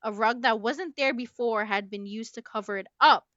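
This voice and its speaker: A woman podcast host reading a novel aloud dramatically, with abrupt loud emphasis and casual asides. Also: on the high side, normal speed, muffled recording, crowd noise. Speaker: book club podcast host.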